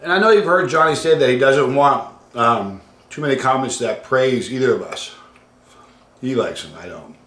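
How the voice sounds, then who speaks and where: A man casually hosting a livestream and reading out viewer comments, halting and conversational, trailing off quietly at the ends of phrases. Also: medium pitch, lively intonation. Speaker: host of a hot sauce challenge channel. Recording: roomy, echoey room sound.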